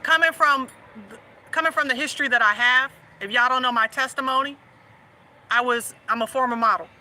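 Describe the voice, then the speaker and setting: A woman's voice: sits high, a little fast, sharp tone, a little hiss or hum. A woman with a Southern US accent hosting a casual chat, laughing often and teasing her audience. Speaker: host.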